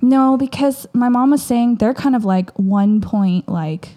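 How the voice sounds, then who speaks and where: A woman with a Southern Californian accent, co-hosting a casual podcast, chatty and quick to laugh and exclaim. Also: fairly high pitch, dark tone. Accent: Southern Californian American. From United States. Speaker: podcast co-host.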